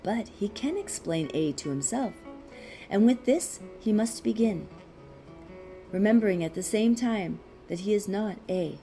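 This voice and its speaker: A woman reading a philosophical text aloud as scripted narration, in a measured, deliberate way with pauses. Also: medium pitch, expressive, warm tone, faint music behind. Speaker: audiobook reader.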